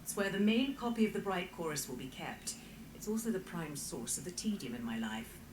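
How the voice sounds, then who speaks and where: Female magistrate for a fantasy game: exasperated, dry, animated delivery in a roomy space. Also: lowish voice, fairly quick, noisy background. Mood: dryly weary. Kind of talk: fantasy video game dialogue.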